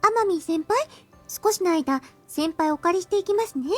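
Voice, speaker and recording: A woman's voice: extremely high pitch, expressive, fast, no room sound, faint music behind. A woman voicing a perky, polite and eager schoolgirl underclassman in an anime-style visual novel. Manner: polite, bright, deferential.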